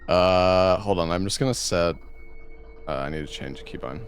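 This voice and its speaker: A man with a deep, radio-ready voice, chatting in a laid-back, hesitant way over voice chat while gaming. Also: normal speed, slight background noise. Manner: laid-back, hesitant, trails off mid-sentence. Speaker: guest streamer in co-op game.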